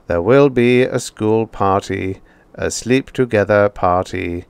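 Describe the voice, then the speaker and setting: Man doing a robot teacher, metallic, unfeeling, low voice, slow and measured. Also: vocal fry, smooth, dry recording. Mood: instructive. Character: flat metallic male robot teacher, calm.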